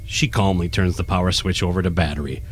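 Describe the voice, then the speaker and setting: A man's voice: sits low, fairly even intonation, fast talker. A man reading scripted narration for a horror radio play. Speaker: narrator, podcast host. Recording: music playing behind the voice.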